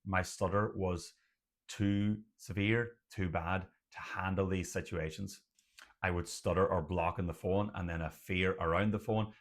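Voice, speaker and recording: Irish man with a stutter, very low voice. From Ireland. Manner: stutters, with blocks and repeated sounds. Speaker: doctor and channel host. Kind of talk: personal talk to camera.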